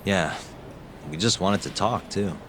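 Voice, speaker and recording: Low-voiced, cool detective. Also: male voice, unhurried, fairly bright tone, slight background noise. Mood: subdued. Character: cool, determined adult male private detective. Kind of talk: English video game dub acting.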